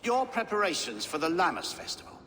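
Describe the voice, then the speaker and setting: Indignant lord: high, very animated, formal and sharp-tongued, game cutscene. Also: male voice, a little fast, exaggerated voice. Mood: pointed. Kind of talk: video game acting. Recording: reverberant hall, faint background noise.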